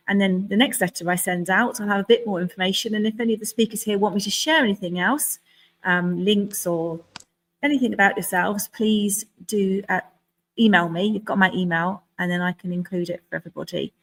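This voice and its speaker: A woman's voice: medium pitch, rough recording. A woman hosting a speaker evening, talking in a chatty, loose way with plenty of "um" and "kind of". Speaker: event host. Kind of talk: casual event hosting.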